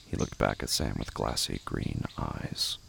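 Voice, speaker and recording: A man's voice: rumbling low, steady tone, unhurried, gravelly, fairly bright tone, slight background noise. A man reading scripted literary fiction as a narrator, with insects heard behind him.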